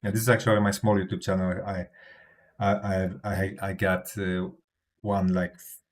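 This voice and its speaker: Hesitant low-voiced European man. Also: level tone, normal speed. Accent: non-native English. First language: not English. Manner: hesitant, many fillers and restarts. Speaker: crypto livestreamer. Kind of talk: casual livestream talk.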